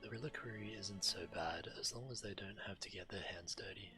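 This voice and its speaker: A man's voice: lowish voice, brisk. A man who streams games, reading quest text aloud and commenting as he plays. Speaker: game streamer. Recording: game music behind the voice. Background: video game music.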